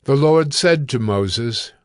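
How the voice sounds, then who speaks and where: Slow, solemn male scripture narrator. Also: medium pitch, lively intonation, dry recording, studio quality. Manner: solemn, measured.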